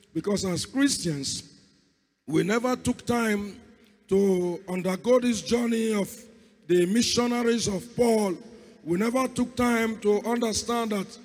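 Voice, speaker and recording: A male preacher who has lost his voice, hoarse and fervent, opening with a prayer and going on to a sermon. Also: high-pitched, a little mellow, big-room sound. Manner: fervent, repeats calls to God. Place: church hall.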